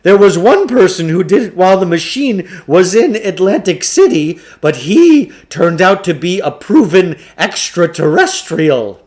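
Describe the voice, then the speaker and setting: A man's voice: high-pitched, sing-song, a little slow, hardly any pauses, darkish tone, pitched above the performer's own voice. A man voicing an eccentric, grandiose shopkeeper from a storybook, with pompous, theatrical delivery. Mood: proud, grandiose. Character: eccentric male occult shopkeeper, grandiose, boastful showman. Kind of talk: acted storybook dialogue.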